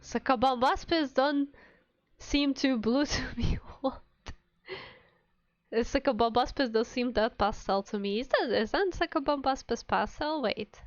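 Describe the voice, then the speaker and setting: A woman with a high, clear voice chats casually to her stream. She sounds playful and mock-indignant, quick to giggle. The sound is close and dry, a little dulled. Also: no room sound, muffled recording. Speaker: streamer, VTuber. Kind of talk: casual livestream chat with viewers.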